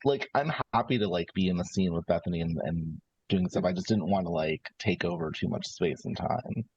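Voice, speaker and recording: A man's voice: low-pitched, brisk, a little mellow, a little hiss or hum. A man playing a tabletop game, talking casually out of character and thinking aloud in a hesitant way. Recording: slightly dull, lo-fi microphone sound.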